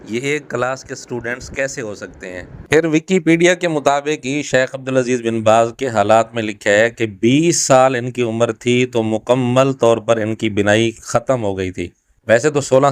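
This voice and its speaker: Clear, low-voiced man explaining a rebuttal. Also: no room sound, studio quality. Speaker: religious scholar rebutting a video. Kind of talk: explanatory rebuttal commentary to camera.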